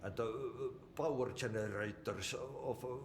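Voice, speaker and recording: An actor playing a cryptic, easygoing old janitor in a video game, with a Finnish accent and folksy, halting phrasing. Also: male voice, mid-range voice, natural voice. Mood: gruff, matter-of-fact. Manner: folksy, halting, odd translated idioms. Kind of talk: video game cutscene acting. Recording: roomy echo, clear background noise.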